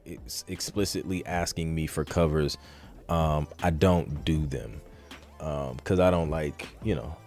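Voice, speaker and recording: A man hosting a podcast, answering questions in a casual, rambling way, thinking aloud with lots of filler phrases. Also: deep voice. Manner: rambling, thinking aloud, frequent "like" and "you know". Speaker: podcast host. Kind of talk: casual live Q and A talk, reading and answering questions.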